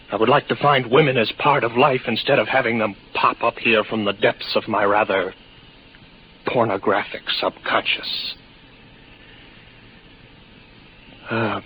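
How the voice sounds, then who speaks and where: A man's voice: fairly low pitch, bright, forceful tone. An actor playing a lonely, weary spaceman with a detached, wry, hesitant musing manner, in a 1950s radio drama. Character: weary lonely spaceman stationed on Pluto, doubting his senses. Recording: noisy muffled 1950s radio recording.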